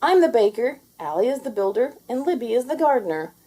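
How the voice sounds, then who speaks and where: A woman's voice: fairly high pitch, big pitch swings, brisk, warm tone, voice pushed up. A woman voicing an eager, cocksure young princess in a children's storybook, bold and breezy. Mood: excited. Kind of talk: storybook character voice.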